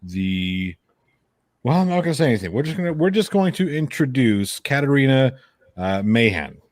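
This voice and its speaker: A man's voice: mid-range voice, sing-song, normal speed, no room sound, studio quality. A man hosting a casual chat, joking and teasing as he goes. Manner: playful, teasing. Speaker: podcast host.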